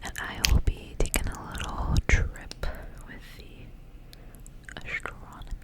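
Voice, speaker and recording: A young woman speaks in a breathy, unvoiced whisper. Her delivery is soft and informal, in the manner of an ASMR creator chatting casually to the listener. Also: measured pace. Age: young adult. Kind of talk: ASMR intro and casual life update.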